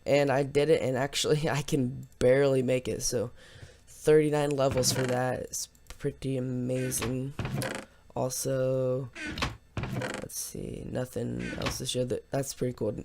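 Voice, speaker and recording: A man hosts a gaming video in a lower-pitched-than-nothing, ordinary mid-range voice with plenty of creak. He is slightly hoarse and talks in a casual, unscripted ramble. Faint noise sits behind him in a home recording. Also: flat delivery, unhurried. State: slightly hoarse after losing voice from yelling. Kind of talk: casual let's play commentary.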